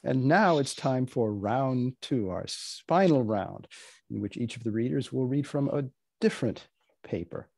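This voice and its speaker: Male panel moderator, deliberate and deadpan, husky tone, close dry sound. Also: medium pitch, dry recording. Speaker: host. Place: indoor room, video call.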